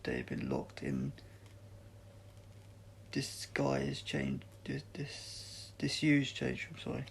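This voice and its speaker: Gravelly man reading a story aloud. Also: medium pitch, sing-song, slow pace, long pauses, slight background noise. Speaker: audiobook reader. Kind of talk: scripted story narration read aloud, amateur.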